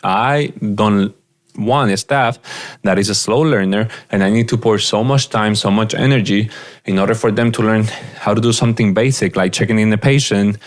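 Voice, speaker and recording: A man's voice: on the low side, moderate pace. A man giving earnest, direct business advice as a practice coach, often repeating his points. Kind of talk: advice monologue.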